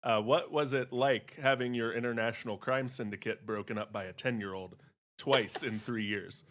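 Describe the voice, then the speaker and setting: A man's voice: mid-range voice, fairly even intonation, moderate pace, a bit bright. A man on a podcast panel, chatting and bantering in a casual, joking way.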